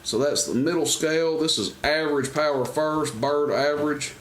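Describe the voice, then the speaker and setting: A man's voice: fairly high pitch, normal speed, a bit bright. Man casually demonstrating gear, noisy recording. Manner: folksy, rambling, unscripted. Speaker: presenter demonstrating and selling CB radios. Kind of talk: casual product demo. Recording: noisy amateur camera recording, clicks.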